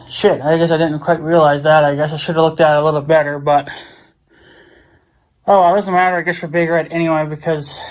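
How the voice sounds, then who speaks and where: A man chatting casually off the cuff, rambling and thinking aloud as he vlogs about a hands-on job, with some mild swearing. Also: fairly high pitch. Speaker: vlogger. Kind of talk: casual off-the-cuff DIY vlog chat.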